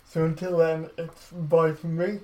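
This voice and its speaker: A male podcast host with a soft-edged, mellow voice. He speaks at a slow, unhurried pace in a conversational interviewing style. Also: fairly high pitch, little pitch movement, poor audio.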